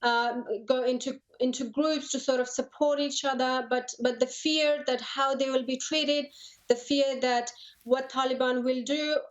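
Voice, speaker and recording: A woman in her thirties from Afghanistan gives a news interview in a fairly high, level voice. She is earnest and halting, often repeating words. The audio is slightly dull and lo-fi. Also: steady tone. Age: 30s. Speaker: interview guest, lawyer and human rights advocate.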